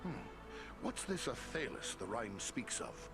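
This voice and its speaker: An actor playing a gruff, practical wandering adventurer in a fantasy game, plain-spoken and direct. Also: male voice, medium pitch, very animated, measured pace, music in the background. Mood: practical, pressing. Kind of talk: dramatic fantasy game acting.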